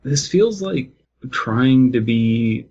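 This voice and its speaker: A man's voice: medium pitch, animated, extremely slow, warm tone, breathy edge, muffled recording. A man co-hosting a podcast, reading a story aloud and commenting casually. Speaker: podcast co-host.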